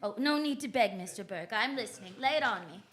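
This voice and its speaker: A woman's voice: mid-range voice, expressive, quick pace, a bit bright, close to an everyday voice. An actress playing a breezy, confident female private detective with a wry, flippant delivery in an old-style radio drama. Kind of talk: 1940s-style radio detective acting and narration.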